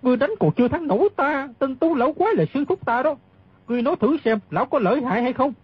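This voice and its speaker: An actor playing an excitable, anxious old martial-arts man with an agitated, emphatic delivery in a radio drama. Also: very high voice, fast, breathy edge, no echo, dull audio, heavily acted. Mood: boastful. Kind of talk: dramatised audiobook reading.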